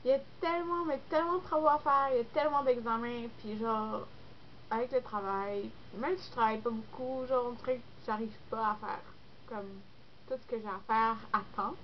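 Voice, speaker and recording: A woman's voice: fairly high pitch, fairly even intonation, normal speed. A young woman with a Québécois French accent, congested with a cold, chatting casually and rambling with lots of fillers in a vlog. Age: young adult. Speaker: beauty vlogger. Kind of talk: casual chat to camera. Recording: dull lo-fi recording with faint noise.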